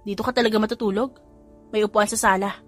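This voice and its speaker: A woman's voice: medium pitch, steady tone, fast, fairly bright tone, faint music behind, natural voice. A woman voicing an irritated, flustered young mother who snaps back sharply. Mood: exasperated. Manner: snappy, exasperated.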